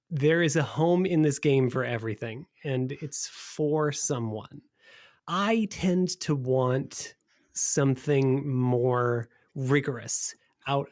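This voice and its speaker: A man hosting a podcast, talking with enthusiasm in both introductions and conversation. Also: mid-range voice. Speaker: podcast host. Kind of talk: scripted podcast introduction and casual interview talk.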